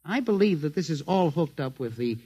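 An actor playing an earnest, deferential records clerk in a 1950s radio drama, speaking politely and hesitantly. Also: male voice, on the high side, animated, brisk, mellow, husky, lo-fi sound.

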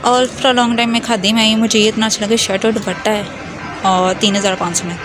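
A woman's voice: mid-range voice, flat delivery, brisk. A young Pakistani woman chatting casually for a family vlog, mixing in English phrases, recorded on a handheld phone. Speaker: family vlogger. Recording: music laid over the voice. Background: music.

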